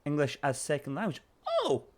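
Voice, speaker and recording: A man's voice: a little high, animated, fairly quick. Scottish man chatting through a stream. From Scotland. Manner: chatty, reads chat aloud, coos at his puppy. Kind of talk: casual game stream chat.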